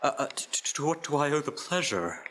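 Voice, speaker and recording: An actor playing a meek, anxious clerk in a radio play, stammering and deferential. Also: male voice, on the high side, very animated, fairly quick, background noise. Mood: nervous, pleading.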